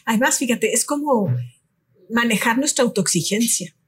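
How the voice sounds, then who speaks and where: A woman, speaking as a guest in a relaxed conversation and telling personal anecdotes. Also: fairly high pitch, normal speed, breathy edge. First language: Spanish. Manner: reflective, tells anecdotes. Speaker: guest expert. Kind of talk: casual conversation.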